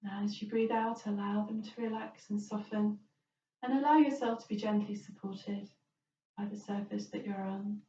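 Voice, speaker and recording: A woman's voice: medium pitch, fairly even intonation, moderate pace. Roomy, distant woman guiding a relaxation. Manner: gentle, reassuring, permissive. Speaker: yoga relaxation teacher. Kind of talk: guided relaxation instruction. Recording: roomy, distant, dull, faint noise.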